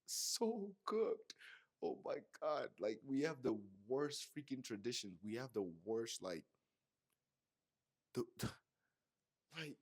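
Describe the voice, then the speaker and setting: A young man from Nigeria with a Yoruba accent, telling a personal story to camera in a slangy, animated way with many fillers. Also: on the low side. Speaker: vlogger. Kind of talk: casual monologue to camera.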